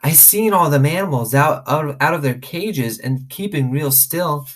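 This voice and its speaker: An eager little country girl full of wonder, played by a man reading a storybook. The pitch is lifted and husky, with a childlike, folksy way of talking. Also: animated, unhurried. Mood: eager, awed.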